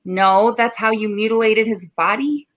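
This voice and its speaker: A woman's voice: a little high, normal speed, fairly bright tone, close to an everyday voice. A woman playing a stubborn, sarcastic male homicide cop with slangy tough talk in 1940s radio style. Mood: sardonic.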